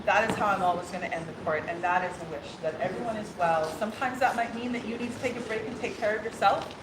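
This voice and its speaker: A woman's voice: mid-range voice, fast talker. A woman from Ireland speaking as a new princess opening a court, laughing often and stumbling over fillers. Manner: warm, laughing, many fillers and restarts. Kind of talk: ceremonial speech and casual exchange. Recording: echoey hall with background noise.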